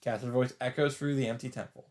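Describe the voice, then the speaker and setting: A man's voice: medium pitch, fairly even intonation, quick pace, noisy background. Man reading a visual novel aloud. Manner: casual, sweary asides. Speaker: let's player.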